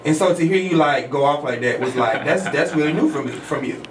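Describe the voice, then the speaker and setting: A man hosting a casual radio interview in an animated, slangy way. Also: a little high, brisk, recorded from a distance. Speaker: radio host. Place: small radio studio, indoors. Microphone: studio microphone on boom arm. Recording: roomy noisy studio, off mic.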